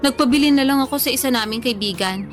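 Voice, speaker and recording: Actress as a high-voiced, stubborn young woman. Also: quick pace, faint music behind, natural voice. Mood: casual. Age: 30s. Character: playful stubborn food-loving woman of thirty telling of a trip. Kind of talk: casual conversational radio drama dialogue.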